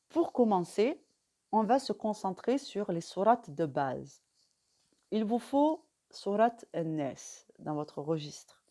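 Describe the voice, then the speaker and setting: Calm French-speaking woman teaching. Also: on the low side. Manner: calm, measured, pausing often with fillers. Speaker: religious teacher. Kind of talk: instructional talk to camera.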